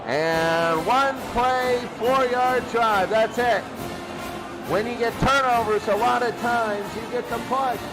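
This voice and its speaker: A man calling simulated football games as a play-by-play announcer, his voice jumping up and getting loud on big plays. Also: extremely high pitch, slow pace, music in the background, low-quality recording. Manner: excitable, voice jumps up and gets loud on big plays. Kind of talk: simulated radio play-by-play commentary.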